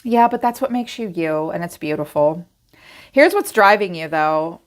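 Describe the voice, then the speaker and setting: A middle-aged woman giving a casual tarot reading straight to her viewers, chatty and animated, acting out their inner thoughts. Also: lowish voice, moderate pace. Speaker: tarot reader.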